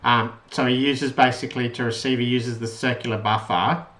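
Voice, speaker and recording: A man's voice: mid-range voice, steady tone, normal speed, fairly bright tone. A man giving an informal, unscripted tutorial walkthrough of an electronics project, explaining step by step and rephrasing as he goes. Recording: roomy, echoey voice pickup.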